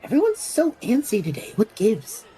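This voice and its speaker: A woman's voice: fairly high pitch, huge pitch swings, normal speed, warm tone, husky, exaggerated voice, low-quality recording. A woman playing an impulsive, chatty male traveller with a flippant, theatrical manner in an audio drama. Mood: baffled.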